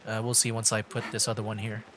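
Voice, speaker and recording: A man's voice: lowish voice, completely flat delivery, a crowd in the background. Man thinking aloud over a game. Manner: thinking aloud, halting restarts while solving puzzles. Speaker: streamer. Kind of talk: casual gameplay commentary.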